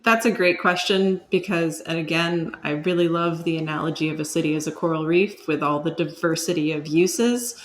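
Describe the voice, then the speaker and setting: A woman with a lowish voice talks as an interview guest. She explains in a thoughtful, conversational way, like an expert answering questions. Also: steady tone, normal speed, few pauses, a little hiss or hum.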